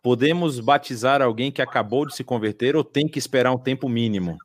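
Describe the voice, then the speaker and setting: A man's voice: medium pitch, fairly quick, no room sound, clean studio sound. A man moderating a church teaching session and reading out questions. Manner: brief, reads out questions.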